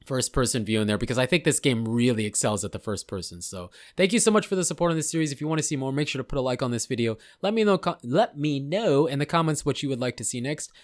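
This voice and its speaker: A man's voice: medium pitch, animated, fast talker, few pauses, dry recording. Casual, rambling man, dry close mic. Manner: rambling, unsure, hedging. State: losing his voice. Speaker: gaming channel host. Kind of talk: casual gameplay commentary.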